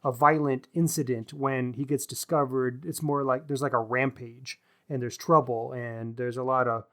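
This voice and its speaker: A man co-hosting a book podcast, discussing writing analytically in measured, considered phrases. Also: mid-range voice, fairly even intonation. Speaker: podcast co-host.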